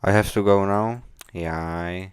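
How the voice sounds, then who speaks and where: A man from the Czech Republic with a Czech accent, chatting casually on a live coding stream in halting, hesitant non-fluent English. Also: low-pitched, steady tone, smooth, no room sound, studio quality. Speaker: game developer live streamer. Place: indoors at a computer, keyboard clicks behind.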